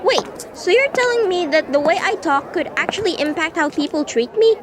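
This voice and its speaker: A woman's voice: very high voice, lively intonation, moderate pace. A woman playing a skeptical student classmate in an amateur radio drama, talking in a casual, blunt, questioning way. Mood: incredulous. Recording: noisy street ambience with vehicles. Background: outdoor urban noise, vehicles.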